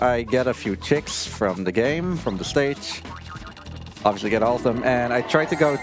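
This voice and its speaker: A man giving casual, rambling live commentary as a speedrunner, halting at times while he plays. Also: mid-range voice. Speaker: speedrunner commentating own run.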